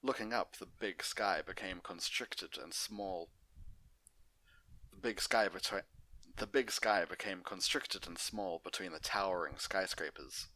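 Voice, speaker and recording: Low voiced guy reading fanfic out loud, kinda bright forceful sound. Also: a little slow, dry recording, rough recording. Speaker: host and story reader. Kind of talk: amateur fan fiction narration.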